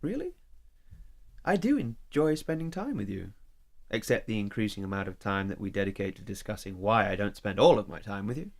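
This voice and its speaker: An actor playing a career-driven, evasive lover in a naturalistic audio drama, speaking in measured, emphatic phrases. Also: male voice, fairly low pitch, sing-song. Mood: defensive, insistent.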